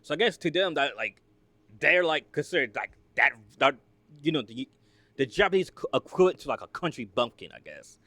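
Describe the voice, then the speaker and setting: A man's voice: on the high side, big pitch swings, brisk, sharp tone, dry recording. A man from the United States hosting a reaction video, talking casually in a rambling, filler-heavy, chatty way.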